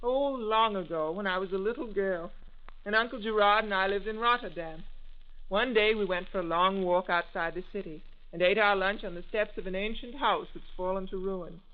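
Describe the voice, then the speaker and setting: A woman's voice: medium pitch, moderate pace, natural voice. Dreamy young woman acted by an actress, earnest, trusting, girlish, old radio drama, narrow tinny sound. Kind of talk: 1940s radio drama acting. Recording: thin, band-limited old radio recording.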